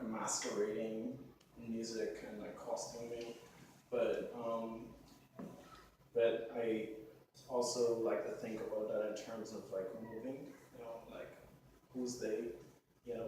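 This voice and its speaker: A man's voice: fairly low pitch, darkish tone. A man from Haiti and the Bahamas gives an informal artist talk, thinking aloud and using "like" as a frequent filler. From born in Haiti, grew up in the Bahamas. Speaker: visual artist presenting his work. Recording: echoey room, distant mic, clear background noise.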